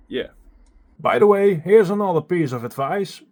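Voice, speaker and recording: A young Dutch-accented man talks into his phone held at arm's length in a small home studio lined with acoustic foam. He is chatty and self-deprecating and swears casually, and he sounds a little out of breath from running up and down the stairs. His voice sits slightly higher than most men's. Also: lively intonation, measured pace, a little mellow. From Netherlands. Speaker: music producer vlogger. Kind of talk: casual vlog talking to camera. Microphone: handheld phone at arm's length.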